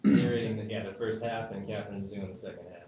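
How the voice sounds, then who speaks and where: A man's voice: on the low side, fairly even intonation, quick pace. A man casually briefing a group as an organiser, with informal, laid-back phrasing. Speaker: screenwriter and table read organiser. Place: echoey indoor room with a group. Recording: dull, echoey, noisy room recording from far off.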